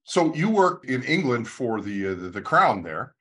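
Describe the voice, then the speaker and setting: A man's voice: medium pitch, sing-song, moderate pace, a bit bright, slightly rough. An older man hosting an interview over a video call, asking questions with many hesitations. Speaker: host.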